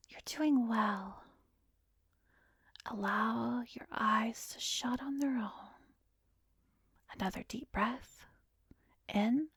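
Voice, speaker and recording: A woman playing a calm, coaxing female therapist in a whispered ASMR hypnosis roleplay, soothing and measured, with a soft breathy voice close to the microphone. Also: fairly high pitch, no room sound, exaggerated voice. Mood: soothing, hypnotic. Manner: slow, soothing, measured guiding with pauses. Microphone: close microphone, dry recording.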